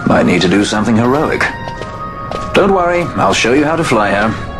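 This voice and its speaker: An actor playing a suave, cocky sky pirate in a video game cutscene, sounding wry and self-assured. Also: male voice, lowish voice, sing-song, normal speed. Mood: confiding. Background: game score music.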